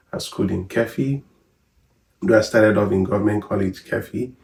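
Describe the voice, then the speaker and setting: A man in his thirties with a Nigerian accent, chatting in a relaxed, rambling way on a family vlog. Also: sits low, creaky. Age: 30s. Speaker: vlogger. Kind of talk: casual chat. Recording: echoey room from far off.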